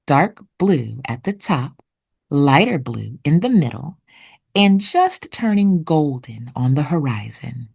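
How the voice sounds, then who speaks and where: Woman doing a kids book read-aloud, slow and very up and down, storyteller pauses. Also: low voice, very animated, gravelly, darkish tone, slightly rough. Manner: deliberate, storytelling delivery with dramatic pauses. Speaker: children's read-aloud narrator. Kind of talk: expressive picture book reading.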